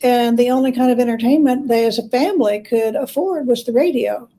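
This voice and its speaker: A woman with a fairly high, very mellow voice explains history as an interview guest. Her delivery is conversational and halting, with pauses as she searches for the right word. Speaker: guest expert, biographer and scholar.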